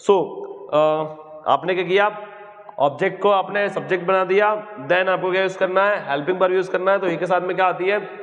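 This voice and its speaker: Indian male teacher, higher-pitched, question-and-answer lesson delivery, dull recording in a very reverberant room. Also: brisk, a bit bright. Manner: repetitive, prompting questions then answering them himself. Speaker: English grammar teacher. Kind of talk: classroom lesson, explaining step by step with questions. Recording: echoey hall, dull muffled lo-fi sound.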